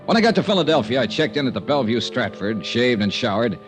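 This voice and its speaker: Man acting breezy American private investigator, wisecracking, animated pitch, 1950s radio drama, music behind. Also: fast, natural voice. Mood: brisk, businesslike. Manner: wisecracking, clipped. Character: wry, breezy male freelance insurance investigator.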